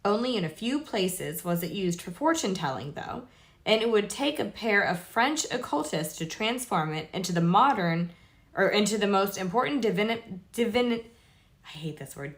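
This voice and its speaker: A woman's voice: mid-range voice, expressive, normal speed. A woman hosting a casual read-aloud stream, informal and sweary, stumbling over longer words and dropping in chatty asides. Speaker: host reading aloud to viewers.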